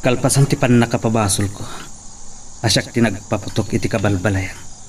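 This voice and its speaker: A man's voice: fairly low pitch, a little fast, far from the mic, noisy background, natural voice. An actor with an Ilocano accent playing a defiant, self-justifying farm henchman in a radio drama. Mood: brooding.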